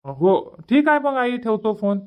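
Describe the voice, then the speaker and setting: A man's voice: high-pitched, very animated, measured pace, a little mellow, clear, resonant tone. A man voicing an easygoing young village son in a cartoon comedy, chatty and colloquial, with a rural Vidarbha Marathi accent. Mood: reassuring, cheerful.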